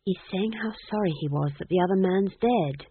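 Man putting on a timid high-voiced young witch. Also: normal speed, breathy edge. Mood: wretched, placating. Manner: hesitant, pleading, weak. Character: timid anxious young witch, patiently placating her elder. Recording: thin phone-like lo-fi audio.

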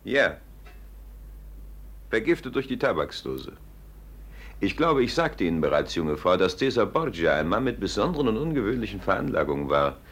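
Radio play expert: pompous, gravely ironic, low and very animated delivery. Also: male voice, moderate pace, pauses often, heavily acted. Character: knowing, ponderous male expert on poisons, gravely ironic. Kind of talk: 1950s German radio play acting.

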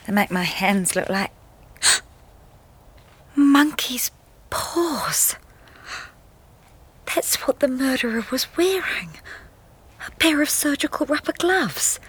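A well-bred young English wife in a radio play. Her voice is breathy and swings widely in pitch. She sounds highly strung and brave. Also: big pitch swings, takes it slowly, pauses often, a bit bright, full character voice. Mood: tense brooding. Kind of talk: British radio drama acting.

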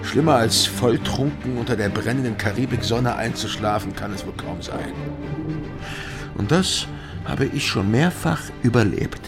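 An actor playing a swaggering, roguish pirate captain in a German radio drama, with a commanding, theatrical delivery. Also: male voice, medium pitch, normal speed, natural voice. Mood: relishing, carefree. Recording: studio radio drama with loud music.

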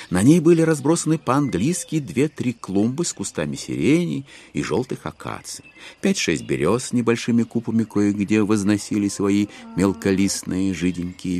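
A man narrating a classic literary audio drama with expressive, theatrical phrasing. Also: on the low side. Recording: music behind the narration.

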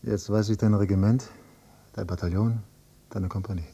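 Radio drama: accusing grown son, dark muted tone, insistent but controlled. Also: male voice, fairly low pitch, fairly even intonation, normal speed, gravelly, slightly rough. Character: persistent, accusing grown son interrogating his father. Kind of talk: German radio drama acting.

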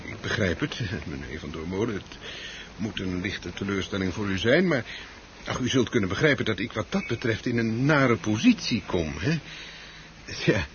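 A man's voice: lowish voice, animated, moderate pace, lightly acted. An actor playing an officious club chairman in a radio play, formally polite, hesitant and evasive, hemming his way through apologies. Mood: apologetic. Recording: dull, slightly noisy old radio recording.

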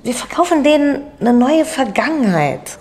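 An actress playing a brash, cynical hustler in a radio play, talking in slangy, offhand dialogue. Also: female voice, sits high, very animated, normal speed, a little mellow. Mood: scornful.

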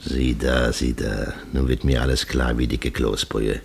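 An actor playing a gruff, scornful, sharp-tongued father in a German radio play, blustering and sardonic. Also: extremely low pitch, fairly even intonation, unhurried, exaggerated voice. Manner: blustering, sardonic, colourfully abusive. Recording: faint noise, old radio drama recording.

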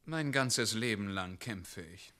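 An actor in a radio play playing a resolute, earnest wandering hero who challenges others with intensity. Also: male voice, fairly low pitch, animated, takes it slowly, sharp tone, heavily acted. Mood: defiant. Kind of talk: German radio play acting.

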